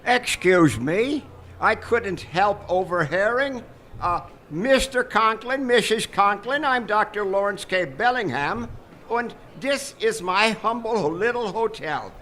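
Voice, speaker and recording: Man playing a high-pitched, ingratiating hotel doctor. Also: expressive, slow, pushed and bright, exaggerated voice. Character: suave, ingratiating male doctor who owns a hotel. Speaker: actor. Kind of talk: live radio comedy-horror acting.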